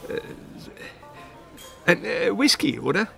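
A man's voice: sits high, very animated, slow, creaky, bright, forceful tone, full character voice. An actor in a radio play voicing a nervous, chatty American businessman abroad, hesitant, fussy and stammering. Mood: nervously friendly. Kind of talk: radio drama dialogue. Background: music.

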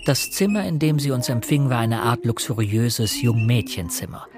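An actor playing an observant young gentleman who narrates a murder mystery in a measured, literary radio drama style. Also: medium pitch, lively intonation, over music, lightly acted.

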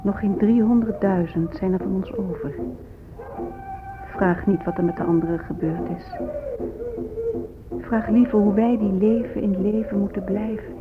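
A woman's voice: medium pitch, fairly even intonation, normal speed, long pauses, lightly acted. Actress as a grave Native woman, muffled radio. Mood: grave accusation. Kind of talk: solemn radio drama monologue. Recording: muffled phone-like old radio recording. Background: music.

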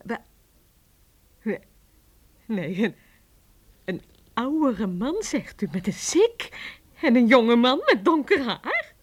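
An actress playing an excitable, scatterbrained housekeeper in a comic audio drama, flustered, exclaiming and stammering. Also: female voice, fairly high pitch, slow, long pauses, breathy edge, heavily acted. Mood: flustered, excited. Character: excitable, scatterbrained housekeeper, quick to laugh and defend herself.

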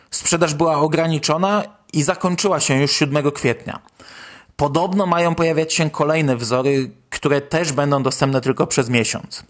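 Man, fairly high voice, podcast host, informal news commentary.

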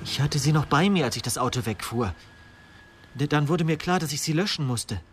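An actor playing an obsessed, bitter idealist confessing to murder in a radio play, with an intense, fervent delivery. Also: male voice, on the high side, lively intonation, fairly quick, a little hiss or hum, close to an everyday voice. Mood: bitter, strained. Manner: intense, fervent, swinging from hushed to raised voice. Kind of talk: 1990s German radio play acting.

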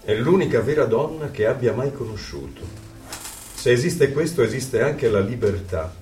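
An actor playing a dissident in love, giving a lyrical, contemplative inner monologue in an Italian radio drama. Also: male voice, medium pitch, animated, normal speed, a little mellow, distant mic, lightly acted. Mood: wistful. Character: reflective male lover, inner voice of a dissident. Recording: echoey, off-mic radio play over music.

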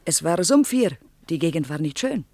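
A woman's voice: sits low, big pitch swings, normal speed, mellow, dry recording, exaggerated voice. An actress playing a Soviet woman tour guide in her thirties in a radio drama, shy but didactic, with a Russian accent and dropped articles. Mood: earnest lecturing. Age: 30s. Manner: earnest, didactic, drops articles.

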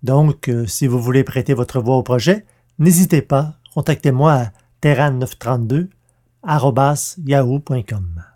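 Guy reading podcast news, soft dark voice, medium pitch. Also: measured pace. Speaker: podcast host. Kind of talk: scripted podcast announcements.

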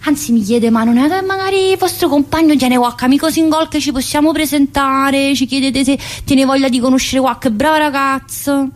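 A woman's voice: high-pitched, normal speed, few pauses, lightly acted. An actress playing a chatty, wistful mother in prison, in a colloquial theatrical monologue with a Southern Italian, Neapolitan-tinged accent. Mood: playful, chatty. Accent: Southern Italian, Neapolitan-influenced. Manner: colloquial, addressing listeners with frequent tag questions.

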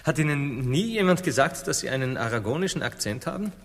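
Naive, earnest seafarer, expressive mid voice with creak, comic radio drama. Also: male voice, medium pitch, a little fast. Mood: insistent, exasperated. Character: eager, naive Italian seafarer seeking royal favour.

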